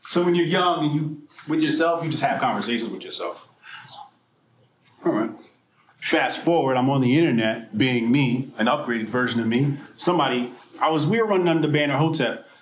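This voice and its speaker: A man from New Jersey giving a personal storytelling speech at a political mixer, animated and profane, swinging between loud bursts and quiet asides. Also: medium pitch, brisk, a little hiss or hum. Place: event room. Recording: echoey room with faint ambience.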